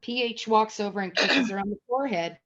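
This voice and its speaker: A woman's voice: medium pitch, fairly quick, fairly bright tone. A woman reading stage directions as the narrator of a screenplay table read. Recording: dull, lo-fi audio.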